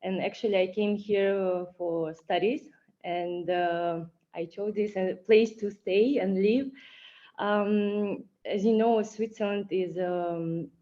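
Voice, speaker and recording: A woman's voice: medium pitch, fairly even intonation, slow, mellow, dull audio. A woman from Kazakhstan presenting her campus over a video call, hesitant and with many fillers. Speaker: campus representative.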